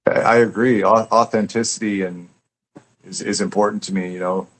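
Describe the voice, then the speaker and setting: Low-voiced man chatting reflectively. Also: steady tone, normal speed, fairly bright tone, slight background noise, low-quality recording. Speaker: guest author and poet. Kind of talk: casual, reflective interview chat.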